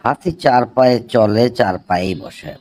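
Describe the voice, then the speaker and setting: একজন পুরুষের অভিনয়ে ধীরলয়ের, দ্বিধাগ্রস্ত বাংলাদেশি কুইজ প্রতিযোগী. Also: male voice, mid-range voice, a little slow, darkish tone, natural voice. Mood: puzzled musing. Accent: Bangladeshi. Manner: deferential, musing, hesitant. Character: polite, hesitant male quiz contestant puzzling aloud. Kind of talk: cartoon comedy dialogue.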